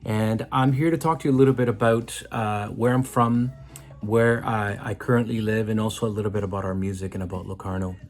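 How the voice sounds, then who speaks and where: A man's voice: lowish voice, fairly even intonation, fairly quick, soft background music. A middle-aged man from Mexico telling a personal story in an earnest, reflective way, often restarting his sentences. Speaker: musician. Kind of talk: personal story told to camera.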